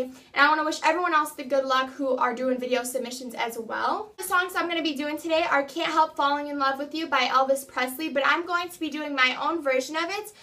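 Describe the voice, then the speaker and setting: A woman's voice: high-pitched, sharp tone. A young woman in her teens or early twenties talking casually and earnestly to a camera in her bedroom, introducing herself as an amateur singer. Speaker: amateur singer making an audition video. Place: small bedroom indoors.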